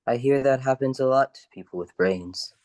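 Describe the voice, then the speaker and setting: Guy playing loyal wry hero sidekick, medium pitch, dry close recording, radio play. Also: moderate pace, darkish tone, dry recording, lightly acted.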